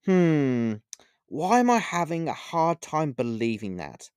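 A man voicing a taunting, theatrical witch, pitched up. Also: big pitch swings, a little mellow, clean tone, no echo, clean studio sound. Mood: sly, taunting. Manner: mocking, drawn-out emphatic phrasing. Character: taunting, theatrical female witch, scornful and playful. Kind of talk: audiobook character voice.

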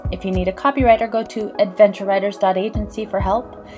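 A woman's voice: mid-range voice, fairly even intonation, quick pace, music in the background. A woman speaking as a podcast host in a casual, chatty interview style.

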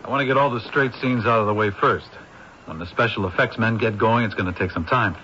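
A breezy movie director. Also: male voice, on the low side, quick pace, pushed and bright. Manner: breezy, persuasive, stammers a little. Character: eager, easygoing film director. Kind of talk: 1970s radio drama acting. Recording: dull old radio broadcast, faint hiss.

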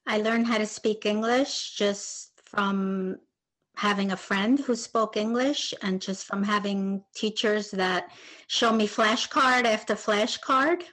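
A woman's voice: medium pitch, takes it slowly, a bit bright, low-quality recording. A woman in her sixties from Argentina, a native Spanish speaker, telling her story in an interview as a retired translator.

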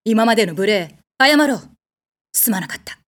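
A woman's voice: medium pitch, animated, moderate pace, close to an everyday voice. A woman playing a haughty, blunt warrior goddess in a comedic audio drama, speaking in an imperious, curt way with a protective streak. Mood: solemn. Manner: imperious, commanding, curt masculine phrasing.

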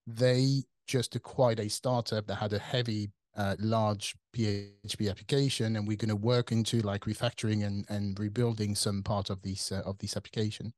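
A man's voice: fairly low pitch, level tone, moderate pace, hardly any pauses, darkish tone, no room sound. A man in his forties from France with a French accent, talking casually as an interview guest, thinking aloud with fillers and restarts. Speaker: guest, tech company CTO.

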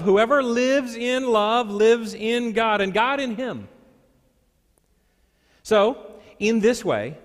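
A male pastor preaching a sermon, reading scripture and telling stories with deliberate, dramatic pauses and emphasis. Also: sits high, a bit bright. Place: large church sanctuary. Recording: echoey hall with long reverb.

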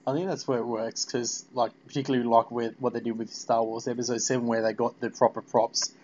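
A man with a medium-pitched voice hosts an informal interview. His questions wander a little and are loosely phrased, in a chatty podcast style. The sound is slightly muffled and lo-fi. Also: little pitch movement, brisk, darkish tone. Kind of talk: casual fan interview chat.

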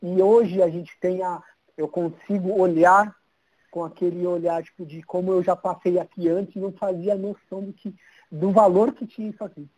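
A man's voice: high-pitched, steady tone, moderate pace, a little mellow, muffled recording. A man in his thirties with a São Paulo Brazilian accent, chatting informally as an interview guest. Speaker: interview guest, social media city guide creator. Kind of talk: casual chat.

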